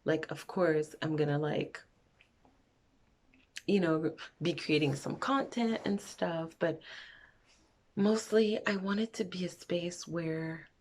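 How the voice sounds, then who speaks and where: A woman's voice: lowish voice, takes it slowly, pauses often, darkish tone. A young woman from Montreal chatting frankly in a get-to-know-me vlog, wandering off on tangents. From Montreal, Canada. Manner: rambling, frank, goes off on tangents. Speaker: vlogger. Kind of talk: casual get-to-know-me chat.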